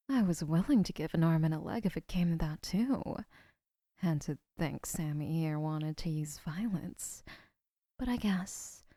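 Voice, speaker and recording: A young woman voicing an adoring, obsessive female kidnapper who gushes softly and often whispers. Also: on the low side, no room sound, clean studio sound. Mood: gushing. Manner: soft, gushing, often whispering. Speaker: voice actor. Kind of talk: audio roleplay.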